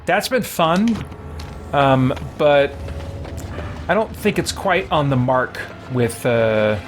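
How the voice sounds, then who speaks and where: A man's voice: a little high, animated, slow. A man giving casual live commentary as he plays a video game, thinking aloud in a wry way. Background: video game music.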